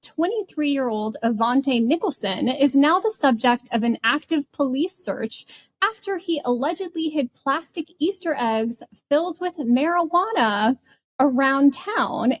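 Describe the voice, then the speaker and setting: A woman co-hosting a podcast, chatting casually and recounting news stories. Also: high-pitched. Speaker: podcast co-host.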